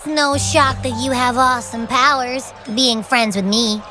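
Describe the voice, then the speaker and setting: A woman's voice: a little high, a little slow, bright, forceful tone, soft background music. A woman voicing a small, cute, boastful companion creature in a video game, cocky and always thinking of food. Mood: curious, boastful. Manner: bubbly, cocky, playful.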